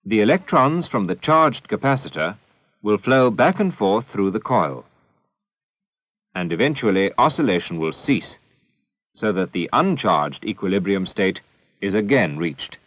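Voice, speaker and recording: A man's voice: fairly low pitch, sing-song, no echo. Dull old film soundtrack, male educational narrator. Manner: measured, didactic, deliberate phrasing.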